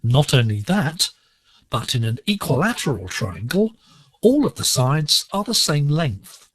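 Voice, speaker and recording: A man presenting a scripted educational lesson on shortwave digital radio, explaining in a measured, deliberate way. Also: on the high side, very animated, slightly rough. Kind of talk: scripted instructional narration.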